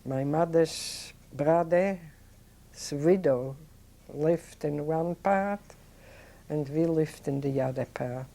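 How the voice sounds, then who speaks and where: An older woman, recalling her childhood in an oral history interview, talking in a halting, reflective way with many restarts. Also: low voice, vocal fry, mellow. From Poland. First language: Yiddish. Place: indoors, seated on a sofa.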